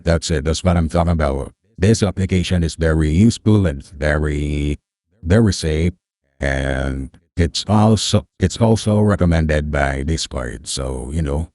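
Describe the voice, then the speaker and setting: Man putting on a very deep, slow narrator voice. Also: darkish tone, no room sound. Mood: relaxed, testing. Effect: voice changer pitched down. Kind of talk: casual microphone test.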